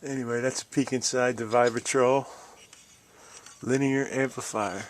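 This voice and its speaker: A man's voice: mid-range voice, steady tone, normal speed, very noisy. A middle-aged or older American man giving a casual, folksy hands-on show-and-tell, musing as he goes. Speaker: DIY video host.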